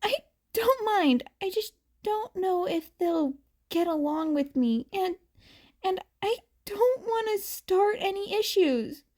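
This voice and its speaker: A woman's voice: very high voice, lively intonation, slow pace, a little mellow, pitched above the performer's own voice, full character voice. A woman voicing an anxious, self-doubting teenage boy who stammers and restarts in a podfic reading. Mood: nervous.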